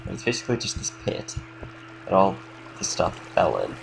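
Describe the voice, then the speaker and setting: Deep, gravelly young man with a cold. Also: moderate pace, music in the background, poor audio. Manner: relaxed, rambling, lots of fillers. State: slightly congested from a cold. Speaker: game tutorial creator. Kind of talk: casual gameplay tutorial commentary.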